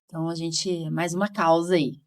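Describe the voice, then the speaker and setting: A woman's voice: lowish voice, little pitch movement, normal speed. A woman, hosting a casual podcast and often adding informal tag questions. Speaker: podcast host, physician.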